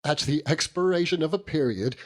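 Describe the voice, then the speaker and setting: A man voicing a pompous, theatrically gloomy middle-aged gentleman in debt, in florid phrases, for an audiobook. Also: a little high, animated, fairly quick, pitched above the performer's own voice, natural voice. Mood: grandly gloomy. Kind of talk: audiobook character reading.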